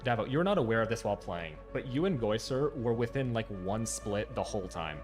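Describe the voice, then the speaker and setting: A man's voice: lowish voice, sing-song, fairly quick. A young man hosting an online game tournament in casual, upbeat talk with fillers. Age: teens. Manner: upbeat, fillers, laughs easily. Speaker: tournament host. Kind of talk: casual stream chat. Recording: game music behind.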